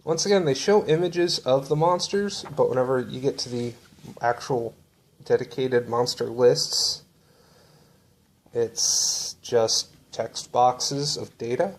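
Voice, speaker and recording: A man showing off books from his shelf in a relaxed, rambling way with lots of fillers. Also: mid-range voice, slow. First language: English. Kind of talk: casual show-and-tell.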